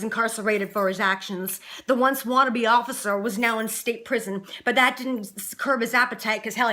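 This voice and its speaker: A woman's voice: on the high side, pushed and bright. A young adult woman performing dramatic slam poetry with no music, in a rhythmic, emphatic, rhyming delivery. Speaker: slam poet.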